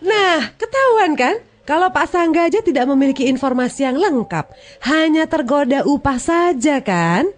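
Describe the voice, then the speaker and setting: Actress as shrewd, probing community advocate. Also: female voice, high voice, lively intonation, normal speed. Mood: probing, challenging. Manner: probing, teasing, admonishing. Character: shrewd, principled women's advocate running a community café. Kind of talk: scripted drama dialogue.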